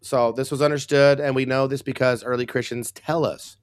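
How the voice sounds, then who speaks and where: A man with a hoarse, raspy voice, co-hosting a casual Bible discussion with wry, sarcastic asides. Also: mid-range voice, steady tone, normal speed, no echo. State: hoarse, recovering lost voice. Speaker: co-host.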